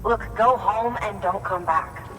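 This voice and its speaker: A woman's voice: medium pitch, slow pace, fairly bright tone. An actress playing a stern, defiant woman in charge of a city, curt and sarcastic, in a dramatic video game scene. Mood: dismissive. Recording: roomy long reverb, distant, faint noise.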